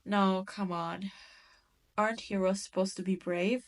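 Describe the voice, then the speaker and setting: Slow, taunting demon queen, acted by a woman. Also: medium pitch, fairly even intonation, natural voice. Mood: teasing, condescending. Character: cruel, gloating demon queen toying with captured hero. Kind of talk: scripted audio roleplay monologue.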